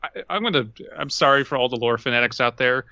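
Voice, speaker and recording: A man's voice: mid-range voice, fast, bright, forceful tone, dry recording. A man co-hosting a podcast, ranting casually with comic, profane bluntness. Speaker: podcast co-host. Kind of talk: casual comedic chat.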